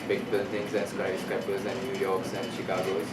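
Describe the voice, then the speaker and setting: Halting, low, even-voiced Pakistani man. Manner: halting, repeats words while searching for phrasing. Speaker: interviewee. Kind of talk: interview answers. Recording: echoey, distant mic, loud background noise.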